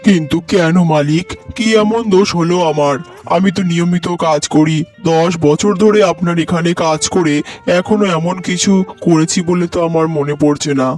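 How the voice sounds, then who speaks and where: A man's voice: fairly high pitch, moderate pace, a little mellow, close to an everyday voice. A man playing an earnest, worried poor labourer and husband in a melodramatic cartoon, with a pleading manner. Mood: dismayed. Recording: music behind the voice.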